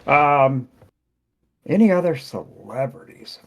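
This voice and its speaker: A man's voice: mid-range voice, animated, slow pace. A middle-aged man hosting a casual podcast chat, telling stories with lots of fillers, laughter and swearing. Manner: rambling storyteller, frequent fillers, swears, laughs easily. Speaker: podcast host.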